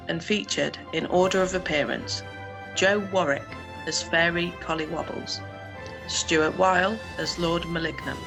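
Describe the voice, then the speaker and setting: A woman announcer reading scripted credits. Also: fairly low pitch.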